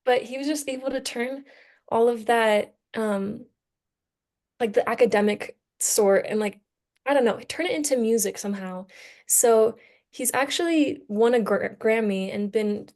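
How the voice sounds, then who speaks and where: A woman's voice: a little high, a little slow, a little mellow. A young woman in a casual group discussion, speaking informally and with enthusiasm as a student on a podcast. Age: young adult.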